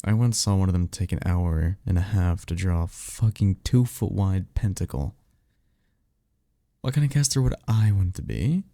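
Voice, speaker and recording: Man playing a deep, creaky-voiced vampire mentor. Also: animated, normal speed, dark tone, no room sound. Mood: casual, teasing. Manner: slangy, offhand, teasing, sweary. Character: cocky, easygoing male vampire mentor, former archmage. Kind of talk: casual conversational roleplay audio acting.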